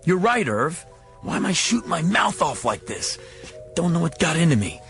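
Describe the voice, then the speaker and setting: Slick, rattled American car-lot boss; slangy radio drama patter, highish and wildly varied in pitch. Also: male voice, very animated, gravelly, fairly bright tone, faint music behind, heavily acted. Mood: sardonic, troubled. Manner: slangy, fast-talking, exclamatory. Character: slick, rattled used-car salesman boss turned compulsively truthful.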